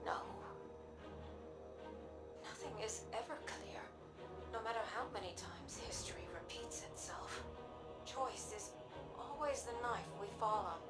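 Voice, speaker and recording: A woman playing a weary, earnest sci-fi warrior in a video game, speaking with deliberate, reflective gravity. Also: on the high side, lively intonation. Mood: grave resignation. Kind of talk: scripted video game dialogue. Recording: music under the voice.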